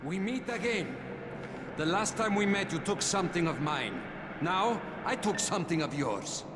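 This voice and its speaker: A man's voice: sits high, animated, normal speed. A man playing a menacing, smug warlord in a video game, speaking in a grandiose, mocking way. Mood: smug, taunting. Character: menacing, theatrical male warlord, smug and taunting. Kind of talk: video game cutscene acting. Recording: echoey room with loud music.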